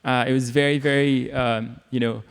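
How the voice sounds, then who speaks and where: A man's voice: medium pitch, flat delivery, moderate pace, creaky, clean tone. Indonesian-raised male panelist, earnest, reverberant hall. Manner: earnest, filler-heavy. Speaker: panelist, nonprofit founder. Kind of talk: panel talk.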